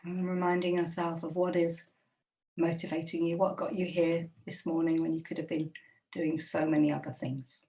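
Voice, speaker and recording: Low, creaky-voiced woman guiding meditation. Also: level tone, far from the mic, low-quality recording. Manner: slow, gentle, unhurried with long pauses. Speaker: meditation guide. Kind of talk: guided body scan meditation.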